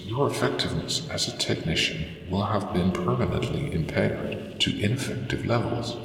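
A man voicing a cold, implacable ship's AI in a sci-fi audio drama, speaking in a formal, impassive, measured way. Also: low-pitched, gravelly, a little hiss or hum. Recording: echoing, distant, long reverberant tail.

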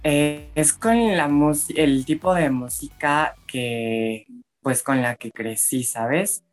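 A young adult singer from Mexico City with a Mexican accent, answering questions in a relaxed, hesitant way with frequent fillers. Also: mid-range voice, faint music behind. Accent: Mexican Spanish. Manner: slow and relaxed, laughs often, hesitant fillers. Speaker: singer being interviewed.

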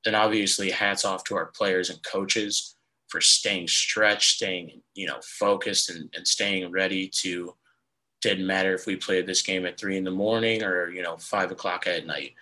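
Hoarse, deep-voiced young sports podcaster. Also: male voice, steady tone, a little slow, sharp tone, poor audio. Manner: rambling, filler-heavy. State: hoarse, lost voice. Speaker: podcast host. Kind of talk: casual sports podcast talk.

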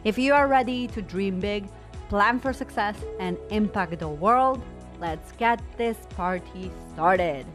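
A woman's voice: mid-range voice, measured pace, faint music behind. A woman hosting a coaching podcast, speaking in an effusive, emphatic way as she presents the show and interviews guests. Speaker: podcast host and business coach.

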